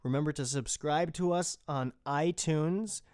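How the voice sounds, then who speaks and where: A man's voice: fairly high pitch, measured pace, a little mellow, smooth, dry recording, clean studio sound. A man hosting a podcast, talking casually and off the cuff in a loose, rambling, informal way. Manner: informal, rambling, mildly profane. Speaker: podcast host and creator. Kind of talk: casual unscripted podcast talk.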